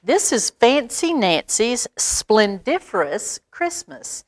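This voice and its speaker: Woman, children's storytime reader. Animated pitch, leisurely pace, dry close sound. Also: dry recording. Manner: expressive, pauses for asides and questions to young listeners. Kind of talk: read-aloud picture book storytelling for children.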